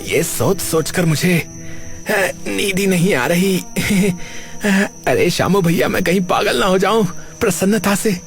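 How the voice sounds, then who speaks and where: A man's voice: high voice, slow pace, vocal fry, full character voice. A man playing an eager, boastful, teasing young villager in a cartoon story, animatedly. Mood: elated. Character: excitable, boastful young male villager riddle-solver. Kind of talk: animated Hindi moral-story acting. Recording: music behind the voice.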